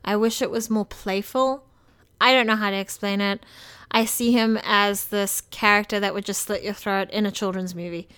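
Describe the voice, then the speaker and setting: A woman's voice: mid-range voice, steady tone, moderate pace, fairly bright tone, smooth. A woman co-hosting a podcast, giving emphatic, opinionated takes in casual film review chat. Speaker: podcast co-host.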